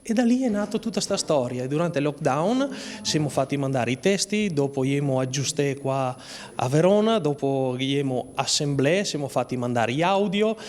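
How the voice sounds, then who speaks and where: Higher-voiced man with strong Veneto accent. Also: lively intonation, normal speed, darkish tone. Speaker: cartoon creator. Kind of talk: informal account in dialect-mixed speech. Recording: reverberant hall.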